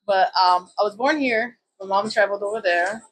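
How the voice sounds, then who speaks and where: A woman's voice: mid-range voice, brisk, a bit bright, a little hiss or hum, low-quality recording. A woman in her thirties from Puerto Rico, a salon owner telling her story in a loose, chatty interview style with plenty of fillers.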